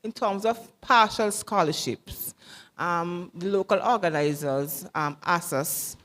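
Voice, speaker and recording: Woman, lowish voice, halting question, fillers, long hall reverb. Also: a bit bright. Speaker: audience member asking a question. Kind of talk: question at a symposium.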